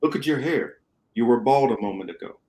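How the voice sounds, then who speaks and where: A man plays a calm, wise captain of a rebel ship in an amateur radio play. His delivery is measured and explanatory, in a mid-pitched male voice. The room around him is echoey. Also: natural voice. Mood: explanatory, assured.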